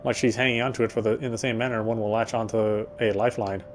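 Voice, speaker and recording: A man's voice: lowish voice, flat delivery, fast talker, a little hiss or hum, natural voice. An actor playing a weary male city detective, narrating in the first person with a wry inner voice.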